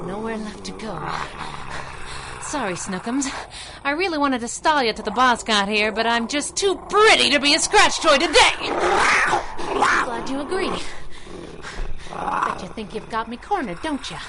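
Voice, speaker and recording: A woman's voice: a little high, sing-song, unhurried. A woman playing a plucky, sassy young masked sidekick in radio adventure style, tossing out teasing quips, with noisy effects behind her. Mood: teasing, bravado.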